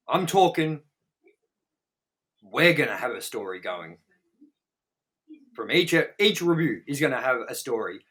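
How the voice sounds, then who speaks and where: Male review co-host: forceful, bright tone, mid pitch, casual opinionated delivery, plenty of swearing. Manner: sweary, blunt, emphatic, repeats words for emphasis. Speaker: co-host of a film review show.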